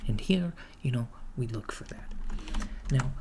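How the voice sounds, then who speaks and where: A man playing a calm, deadpan recruiter of henchmen in an ASMR roleplay, speaking softly and hesitantly, often near a whisper. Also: mid-range voice, unhurried, creaky, background noise. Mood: deadpan, casual, then matter-of-fact, reassuring. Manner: hesitant, deadpan, reassuring office politeness. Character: calm, matter-of-fact villain's henchman recruiter, male, mildly awkward. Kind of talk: ASMR roleplay, soft-spoken job interview. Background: computer keyboard typing.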